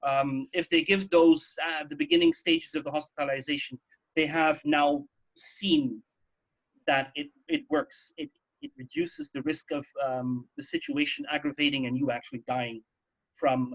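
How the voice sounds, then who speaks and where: Higher-voiced man with a creaky edge. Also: dull audio. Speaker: radio talk host. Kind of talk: casual radio discussion of health news.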